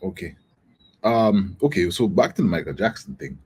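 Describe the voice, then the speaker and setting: A man with a very deep, low voice and a husky edge. He talks in a laid-back, slangy way and often starts a sentence over before finishing it. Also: moderate pace. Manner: relaxed, slangy, hesitant restarts. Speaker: soca artist, guest. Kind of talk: casual interview chat.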